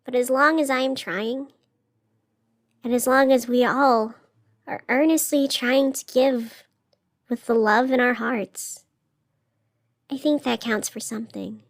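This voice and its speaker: Woman playing gentle night radio host, really slow, slightly high voice, earnest n encouraging, reflective audio drama. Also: steady tone. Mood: sincere, reflective.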